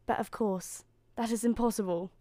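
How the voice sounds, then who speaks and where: A woman's voice: fairly high pitch, fairly even intonation, normal speed, warm tone, no room sound, natural voice. A woman voicing an earnest, wistful young prince in love, in a storybook reading. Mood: smitten.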